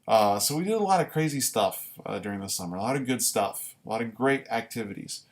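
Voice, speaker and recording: A man's voice: medium pitch, animated. Casual, rambling man chatting on a livestream. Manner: rambling, halting delivery with frequent self-corrections. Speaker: livestream host; amateur writer.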